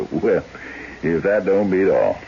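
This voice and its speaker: A man's voice: sits low, very animated, slow, vocal fry, exaggerated voice. An actor playing a plainspoken, wary lawman with a homespun drawl, in a radio drama. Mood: wary, musing. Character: folksy rural American lawman, plainspoken and wary. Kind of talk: old-time radio drama acting. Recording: dull, hissy radio drama recording.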